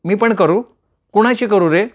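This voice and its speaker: Male reader doing a high, playful young woman; chatty teaser in a storybook reading. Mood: teasing. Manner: teasing, quick, bantering. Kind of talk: storytelling with voiced characters.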